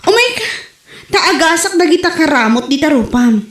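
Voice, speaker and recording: Woman acting a high-voiced, flirty Ilocano mistress. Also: expressive, normal speed. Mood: teasing. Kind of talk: Ilocano audio comedy drama acting. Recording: echoey room.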